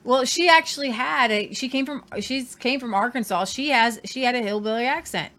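A woman co-hosting a casual news chat, talking in lively bursts and repeating herself for emphasis. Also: fairly high pitch, moderate pace. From Southern Ohio. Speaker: co-host.

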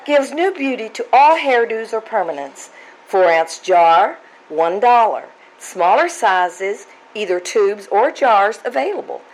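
A woman's voice: mid-range voice, big pitch swings, measured pace, poor audio. Woman reading an old-time radio advert. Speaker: commercial announcer.

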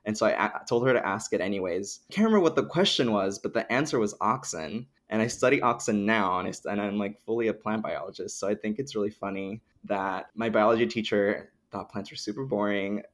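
A young man from the Dominican Republic talks casually as a podcast guest. His voice sits fairly low, and he explains things eagerly, scattering "like" through his sentences. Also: a little fast, few pauses. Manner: chatty, peppered with "like", explains eagerly. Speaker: podcast guest, plant scientist. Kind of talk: casual interview chat.

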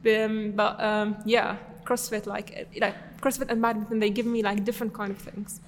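A woman's voice: medium pitch, little pitch movement, moderate pace, in a large hall, slight background noise. A young woman from Syria, a podcast guest, answering casually with many fillers and restarts.

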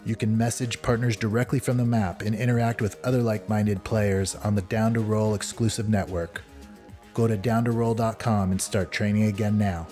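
A man reading sponsor ads in a steady, scripted way. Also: fairly low pitch, moderate pace, soft background music. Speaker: podcast host. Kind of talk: sponsor ad read.

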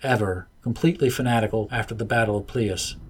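Guy doing a young wolf pup, clipped, bossy, slow and firm. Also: fairly low pitch, a little hiss or hum, natural voice. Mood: commanding. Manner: clipped, commanding. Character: young wolf-like alien father, intense.

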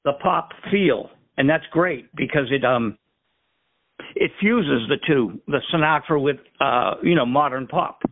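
A man hosting a radio show, interviewing a guest with slow, affirming questions. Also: mid-range voice. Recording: phone-like line.

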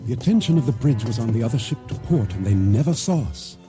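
An actor playing a solemn island boatman, narrating his ordeal at sea in measured, formal, deliberate words for a radio drama. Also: medium pitch, lively intonation, natural voice. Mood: grave, measured. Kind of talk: dramatic first-person narration for radio theater. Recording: radio drama with music underscore.

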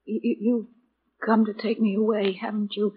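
An actress playing a fragile, terrified widow in 1940s radio style, stammering and pleading breathlessly. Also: female voice, mid-range voice, level tone, moderate pace, a little hiss or hum, lightly acted. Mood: frightened, pleading. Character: terrified, fragile widow haunted by her drowned husband. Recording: dull lo-fi 1940s radio recording.

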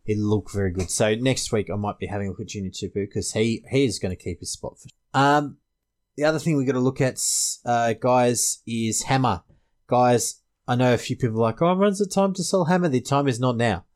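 A man's voice: medium pitch, brisk, a little mellow. A man with an Australian accent hosting a casual fantasy sports panel discussion. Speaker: podcast host.

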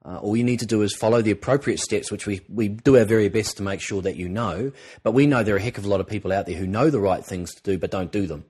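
Husky, croaky-voiced man. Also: on the low side, fast talker, darkish tone. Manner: earnest, encouraging, rambling with restarts. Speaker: podcast co-host, presenter coach. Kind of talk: casual podcast talk.

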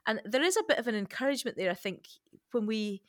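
A woman's voice: on the high side, animated, quick pace, no room sound, studio quality. A woman hosting a conversational discussion in a thoughtful, tentative manner that seeks agreement. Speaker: podcast host.

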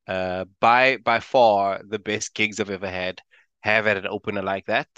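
A man's voice: lowish voice, fairly even intonation, moderate pace, a bit bright, clean tone, dry recording, dull audio. A man from South Africa with a South African accent, a stand-up comedian chatting casually and laughing often as an interview guest.

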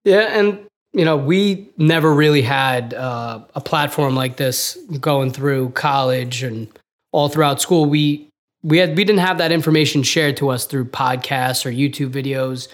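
Young male co-host, fresh graduate, easygoing and chatty, average pitch. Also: a little slow. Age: young adult. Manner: relaxed, many "you know" and "uh" fillers. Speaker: podcast co-host, junior architect. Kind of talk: casual podcast chat. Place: office.

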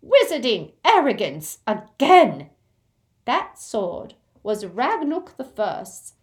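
A woman's voice: a little high, voice pushed up, full character voice. A woman voicing a proud, angry male goblin who speaks in a firm, formal, indignant manner in a storybook reading.

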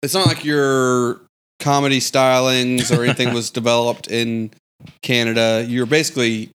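A man's voice: mid-range voice, level tone, moderate pace, fairly bright tone, smooth. A man from the United States hosting a casual comedy chat, rambling and poking fun at himself. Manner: rambling, self-mocking.

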